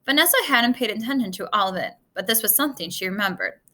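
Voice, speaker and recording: Bright, animated woman reading a story aloud. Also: medium pitch, fast talker. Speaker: storybook reader. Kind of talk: read-aloud narration of a children's adventure novel.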